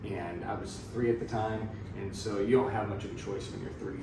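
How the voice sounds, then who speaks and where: A man's voice: fairly low pitch, brisk. A man in his thirties who grew up in Thailand, chatting as a missionary guest with lots of fillers. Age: 30s. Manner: relaxed, rambling with frequent fillers. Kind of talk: casual storytelling. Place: room in Thailand. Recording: echoey room, distant mic, noisy.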